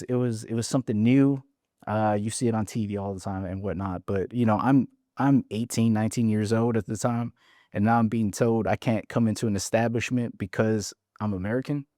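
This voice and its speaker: A man's voice: on the low side, fairly even intonation, brisk, dark tone, dry recording, clean studio sound. A man from Hawaii in his forties, a podcast guest frankly telling his story and often restarting his words. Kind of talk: casual interview storytelling.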